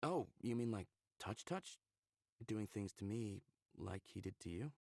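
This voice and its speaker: A man acts out a laid-back male space traveler in a visual novel, curious and frank. His voice is fairly low and creaky, with a dry sound and casual, slightly hesitant delivery. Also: animated, unhurried, a little mellow, no echo. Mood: casual, tentative.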